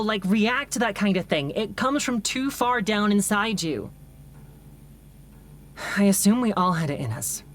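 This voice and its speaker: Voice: medium pitch, close to an everyday voice. An actor playing an uneasy, remorseful comms operator in an audio drama, talking in a hesitant, conversational, confessional way. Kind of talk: naturalistic audio drama monologue.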